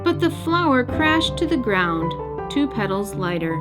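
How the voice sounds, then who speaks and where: A woman narrating a children's storybook as the storyteller, in an expressive scripted read-aloud style. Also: on the high side, unhurried. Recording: music bed behind narration.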